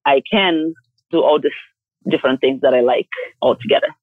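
A woman from Bulgaria with a Bulgarian accent, a yoga teacher and coach talking in a direct, forceful way and laughing often. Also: low voice, normal speed, no echo. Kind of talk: casual interview talk. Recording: tinny phone line.

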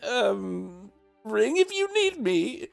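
A man's voice: high voice, sing-song, drawn-out words, vocal fry, faint music behind, pitched above the performer's own voice, exaggerated voice. A man voicing a fussy, nervous male palace steward in a fantasy court, sounding flustered and eager to please.

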